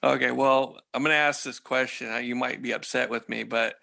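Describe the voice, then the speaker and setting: A man asking casual questions on a group video call in a halting, hedging way. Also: medium pitch, fairly even intonation, gravelly, bright, forceful tone.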